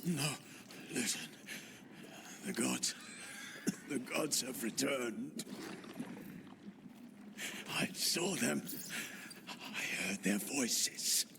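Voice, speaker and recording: An actor playing a wounded, frightened captive in a video game, speaking in halting, laboured phrases over noisy ambience. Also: male voice, medium pitch, big pitch swings, gravelly, heavily acted. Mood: weak, fearful. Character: wounded, frightened male captive gasping out warnings. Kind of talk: video game cutscene acting.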